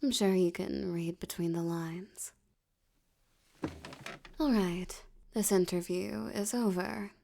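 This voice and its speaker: A woman playing a flirtatious, domineering mafia boss in an ASMR roleplay, teasing and condescending, with a breathy voice that slips toward whispers. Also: lowish voice, dark tone, a little hiss or hum. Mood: smug, playful. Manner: teasing, seductive, condescending, drawn-out delivery.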